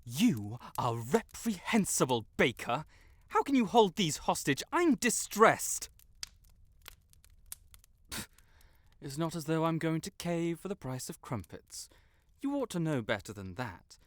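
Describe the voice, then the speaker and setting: A man's voice: a little high, big pitch swings, measured pace, long pauses, dry recording. A man playing a haughty, wordy young wizard who sputters as he is compelled to honesty, in an audio roleplay addressed to the listener. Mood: indignant, haughty. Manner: pompous, theatrical, wordy, sputtering.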